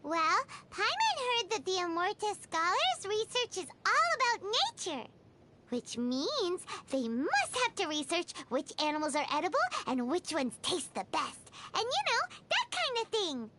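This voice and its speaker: A woman voicing a tiny, excitable, food-loving female fairy companion in a video game, squeaky and childlike, bubbly and exclaiming. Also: unhurried, slight background noise, full character voice. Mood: cheery. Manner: speaks of self in third person, exclaiming, bubbly. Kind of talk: video game character dialogue.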